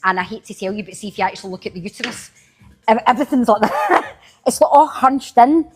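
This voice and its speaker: A woman's voice: a little high, expressive, quick pace, husky, faint music behind. A woman with a Glaswegian accent hosting a casual live-stream product demo, chatting and thanking viewers by name as she goes. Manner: chatty, answers viewers by name while demoing. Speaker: live-selling host.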